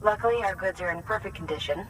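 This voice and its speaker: Game role: chatty male receiver, slightly high, officious, off-mic radio feel, faint noise. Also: fast, a bit bright. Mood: chiding. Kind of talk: video game cutscene acting. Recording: distant sound with faint noise.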